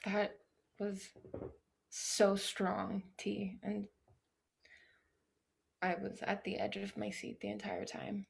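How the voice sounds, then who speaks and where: An effusive woman hosting a reflective discussion. She speaks slowly and gropes for words, with an even melody and a little creak in her voice. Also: medium pitch, a little hiss or hum. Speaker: host responding to a performer. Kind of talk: reflective post-show discussion.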